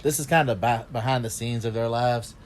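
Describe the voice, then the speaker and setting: A man's voice: medium pitch, steady tone, a little fast, a little hiss or hum. A man co-hosting a recap podcast in casual banter, given to joking, off-topic asides. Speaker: podcast co-host.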